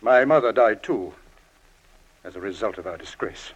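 An actor in a 1940s style radio drama playing a wealthy, middle-aged villain, formal and guarded with menace underneath. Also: male voice, fairly low pitch, animated, moderate pace, husky, highs cut off. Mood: defensive.

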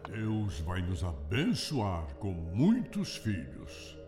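A wealthy, unctuous Brazilian widower of middle age, solemn and lustful. His low voice moves very deliberately through a radio drama, in a roomy echo with music underneath. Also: wildly swooping pitch, heavily acted. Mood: solemn, reassuring.

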